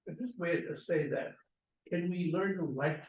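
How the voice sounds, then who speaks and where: A man's voice: a little high, moderate pace, vocal fry, a little hiss or hum. A man reading out stage directions at an informal script table read. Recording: echoey room, distant mic.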